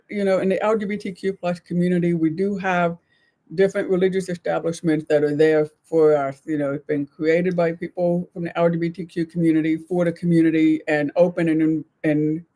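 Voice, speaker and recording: An older woman talks as co-host of a casual livestream. She explains things in a measured and supportive manner, in an informal conversational style. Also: fairly low pitch, warm tone. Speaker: co-host of a live community chat show.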